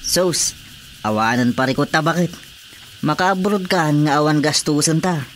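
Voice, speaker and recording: A man's voice: on the high side, measured pace, a bit bright, a little hiss or hum. A man playing an agreeable, simple village husband in a radio drama comedy. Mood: excited, grumbling.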